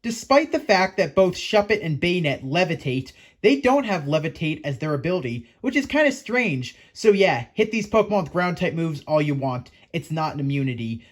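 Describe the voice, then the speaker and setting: A man presenting a scripted fan video series as its host, with a playful, theatrical manner of addressing viewers. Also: fairly high pitch. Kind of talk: scripted presenter narration to fans.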